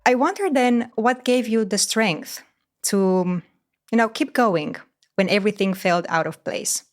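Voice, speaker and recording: A woman in her thirties from Romania, hosting an interview and asking long, reflective questions with a warm manner. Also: medium pitch, a little slow. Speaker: podcast host. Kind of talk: interview chat.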